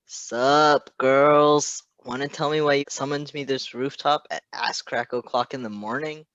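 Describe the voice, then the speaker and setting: A man's voice: medium pitch, fairly even intonation, measured pace, fairly bright tone, no room sound, muffled recording, voice pushed up, natural voice. A man voicing a sassy, irreverent story character who grumbles at everyone. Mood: sassy, put-upon.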